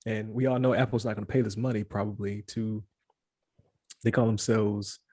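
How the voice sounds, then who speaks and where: Mellow-voiced man rambling on a podcast. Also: on the low side, flat delivery, moderate pace, no echo, studio quality. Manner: joking, rambling with many fillers and restarts. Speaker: podcast co-host. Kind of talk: casual chat.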